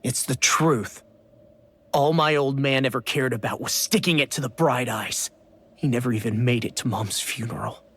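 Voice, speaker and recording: An actor playing a bitter, hot-headed teenage boy with a husky voice and a defiant manner in a fantasy anime game. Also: mid-range voice, normal speed, a bit bright. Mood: surly, bitter. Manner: defiant, surly. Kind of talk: English-dubbed anime game voice acting.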